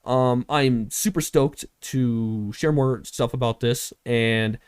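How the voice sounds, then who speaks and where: A man's voice: medium pitch, slow, smooth, dry recording, studio quality. A young man hosting a casual project update, talking in an informal, rambling way peppered with filler words. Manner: informal, rambling, heavy use of "like". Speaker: filmmaker and host.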